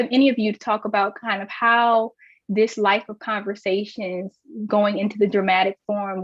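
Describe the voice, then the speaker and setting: A woman's voice: mid-range voice, dry recording. A woman moderating a panel discussion, posing questions in a thoughtful way, on a lo-fi recording. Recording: dull, lo-fi sound.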